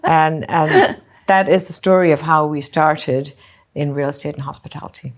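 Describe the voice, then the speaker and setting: A middle-aged woman from Singapore, a company founder answering interview questions thoughtfully, often pausing with "you know" and restarting phrases. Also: low-pitched. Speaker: hotel group co-founder and CEO.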